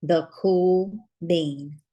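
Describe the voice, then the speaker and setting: A woman reading a children's picture book aloud as a storyteller, with dramatic, deliberate phrasing and emphatic pauses. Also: lowish voice, fairly even intonation, dark tone.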